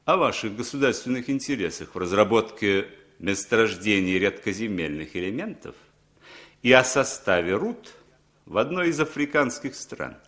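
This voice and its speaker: An actor playing a smooth, sly foreign businessman in radio drama, insinuating and theatrical. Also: lowish voice, normal speed. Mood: suave, pointed. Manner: suave, insinuating, theatrically expressive. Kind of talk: 1960s radio drama acting.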